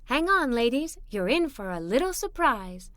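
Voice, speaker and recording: A woman voicing a kindly young girl's mother in a children's storybook, gentle, tender and reassuring. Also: high-pitched, sing-song, normal speed, dry recording. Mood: playful, warm.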